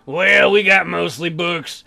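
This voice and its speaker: A man voicing a folksy, awkward colonist librarian in a visual novel, talking in a slow, halting drawl full of hums and throat-clearing. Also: high-pitched, bright, forceful tone, soft background music, exaggerated voice.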